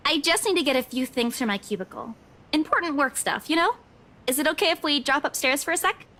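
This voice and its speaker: A spirited young office worker. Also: female voice, sits high, animated, pushed and bright. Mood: playful. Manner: teasing, brisk, quick to laugh. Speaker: office employee. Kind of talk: voiced visual novel acting.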